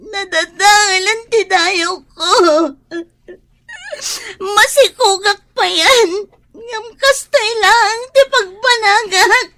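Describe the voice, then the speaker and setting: A woman playing a distraught, jilted young woman in an emotional radio drama, with loud, tearful, pleading delivery. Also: very high-pitched, very slow, full character voice. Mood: tearful, despairing.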